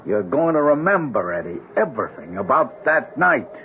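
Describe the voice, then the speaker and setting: A man's voice: medium pitch, very animated, normal speed, lightly acted. An actor playing a hard-nosed American police lieutenant in a radio drama, curt, clipped and pressing with his questions. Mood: stern, pressing. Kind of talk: 1970s radio drama acting. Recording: tinny, hissy 1970s radio broadcast.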